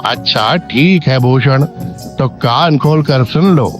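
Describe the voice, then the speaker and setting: An actor playing a rich village farmer boss in a cartoon moral story, patronizing but fair and kindly. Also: male voice, mid-range voice, slow, faint music behind, lightly acted. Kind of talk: Hindi animated moral story dialogue.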